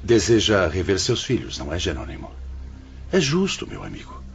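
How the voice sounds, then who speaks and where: A man's voice: low-pitched, huge pitch swings, normal speed, vocal fry, faint music behind, exaggerated voice. An actor playing a serene, humble older male spirit who directs an afterlife hospital in a religious radio drama, speaking solemn, formal, patient Brazilian Portuguese. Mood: kindly, compassionate.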